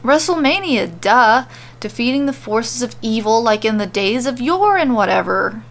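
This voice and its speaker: A woman's voice: on the high side, lively intonation, moderate pace. A woman voicing a nervous, frustrated man, a bumbling kidnapper in an audio story, hesitant and slangy. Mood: unsure.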